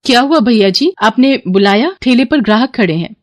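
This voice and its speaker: A woman voicing a poor, hardworking street food seller and anxious mother in an animated moral story, earnest and worried. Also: fairly high pitch, normal speed, no room sound, pitched above the performer's own voice, close to an everyday voice. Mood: distressed, pleading.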